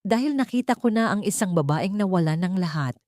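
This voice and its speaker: A woman's voice: medium pitch, lively intonation, brisk, a little mellow, no room sound, clean studio sound. A woman reading scripted narration for a dramatic audio love story.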